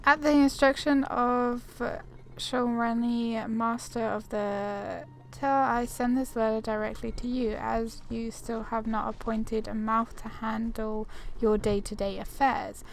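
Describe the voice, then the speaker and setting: Girl gamer doing a playthrough, highish voice with vocal fry, playful and casual. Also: steady tone, slow. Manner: playful, informal. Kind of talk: casual game playthrough commentary, reading dialogue aloud.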